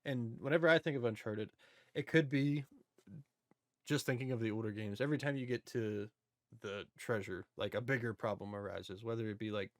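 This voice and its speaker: A man's voice: medium pitch, brisk, no echo, clean studio sound. A man chatting casually and informally as a podcast co-host. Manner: informal, self-described history nerd. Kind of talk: casual chat about video game movies.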